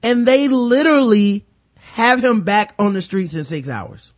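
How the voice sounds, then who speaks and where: A man's voice: high voice, lively intonation, unhurried. An American man hosting a casual, profane film discussion, animatedly retelling scenes and acting out mock dialogue. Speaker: movie review podcast host.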